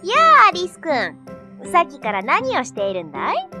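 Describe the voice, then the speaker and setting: A woman's voice: high voice, wildly swooping pitch, moderate pace, pushed and bright, exaggerated voice. A woman voicing a cheerful, careless little bird in a children's storybook, breezy and teasing, with music behind. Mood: friendly, curious. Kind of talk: children's animated storybook dialogue.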